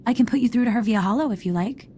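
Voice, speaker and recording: Woman doing game army aide girl, highish voice, talks fast, keen and polite. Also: dark tone, a little hiss or hum, lightly acted. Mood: eager, polite. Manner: polite, eager, brisk. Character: eager, polite young female military assistant. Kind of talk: video game voice acting.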